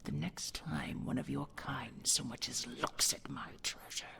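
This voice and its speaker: A woman's voice: extremely low pitch, fairly even intonation, full character voice. A woman voicing a possessive female dragon queen who is fierce to rivals and tender to her treasure, often speaking in a whisper. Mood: menacing. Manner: slow, gravelly, whispered tenderness. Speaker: voice actor. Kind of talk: audio roleplay acting.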